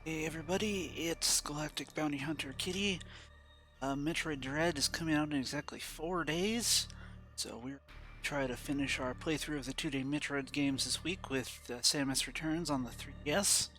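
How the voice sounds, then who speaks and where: A man's voice: a little high, moderate pace, bright, forceful tone. A congested man hosting a let's play, talking in a loose, rambling way full of fillers. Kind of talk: casual game commentary.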